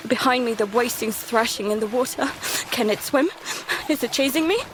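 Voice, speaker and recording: A woman playing a terrified young daughter, narrating an audio drama in the first person in halting, emotional fragments. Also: fairly high pitch, noisy background, close to an everyday voice. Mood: panicked, tense. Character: terrified young daughter recounting a monster attack at sea.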